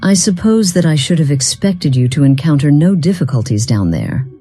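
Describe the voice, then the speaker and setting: A woman voicing a weary, once-proud companion in a fantasy game, speaking in a formal, measured and reflective way. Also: sits low, very animated, warm tone, soft background music. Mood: apologetic, reflective. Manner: formal, measured, solemn wording. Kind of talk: scripted dialogue for a fantasy game mod.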